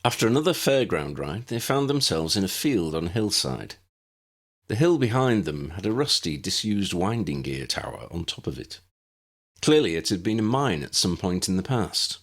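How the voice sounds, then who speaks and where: A man with a gravelly, husky voice reading scripted story narration. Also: low-pitched, big pitch swings, normal speed. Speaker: narrator, audiobook reader.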